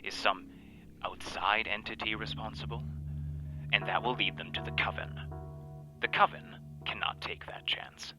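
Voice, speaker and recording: A man playing a solemn, threatening coven enforcer in a horror audio drama, speaking formally and deliberately. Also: on the low side, fairly even intonation. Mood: cold menace. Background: background music.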